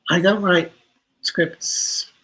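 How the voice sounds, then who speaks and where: A man with a slightly high, husky voice speaks as a guest in a reflective interview. His intonation stays fairly even, and he feels his way through thoughts, often correcting his wording. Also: very slow, poor audio. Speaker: interview guest, audio drama writer and creator.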